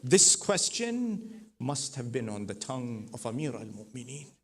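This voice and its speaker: Middle-aged male preacher, recounts a tradition, builds from hushed to forceful. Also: mid-range voice, big pitch swings, measured pace. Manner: swells from soft to loud, rising emphatic repetition. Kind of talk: mourning sermon with narrated tradition.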